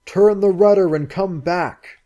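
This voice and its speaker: A man voicing a desperate, angry young man who shouts and bellows. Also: lowish voice, a little slow, dull audio. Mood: shouting. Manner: shouting across surf.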